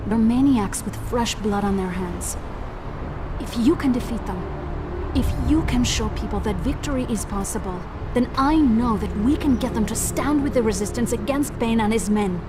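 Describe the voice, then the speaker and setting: An actress playing an earnest, determined resistance leader in a video game, composed and persuasive. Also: female voice, on the high side, normal speed, lightly acted. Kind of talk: video game cutscene acting. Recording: clear music behind.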